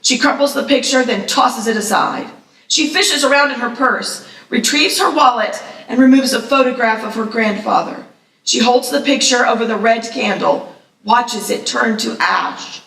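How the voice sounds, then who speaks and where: A woman narrator reads stage directions from a script at a live event. Her voice sits a bit high, and it reaches the microphone from a distance with clear room echo. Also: normal speed, fairly bright tone. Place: live festival event, echoey venue. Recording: echoey room, distant mic.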